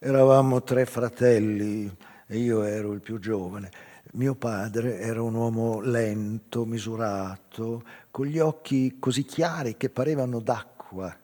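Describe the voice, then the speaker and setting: Actor as aging island man, mellow, in reverberant hall. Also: medium pitch, lightly acted. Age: older. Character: aging island man, reflective, recalling his childhood. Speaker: stage actor. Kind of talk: literary theatre monologue, reminiscing storytelling.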